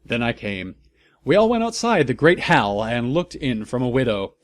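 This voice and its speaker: A man's voice: medium pitch, big pitch swings, normal speed, dry recording. Casting: male narrator, mock-serious and theatrical, comic audiobook style, very little room sound. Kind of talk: comedic dramatic reading of fan fiction.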